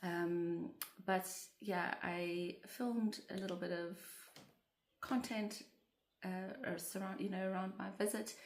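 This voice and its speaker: Croaky woman vlogging slowly with a cold. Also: medium pitch. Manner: gentle, meandering, with many fillers. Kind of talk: casual chatty vlog to camera. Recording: roomy echoey room.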